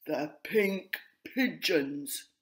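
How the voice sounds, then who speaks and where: A woman voicing a proud, cross male grey pigeon in a children's story, snooty and forceful. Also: deep voice, animated, very slow, heavily acted. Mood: urgent, angry.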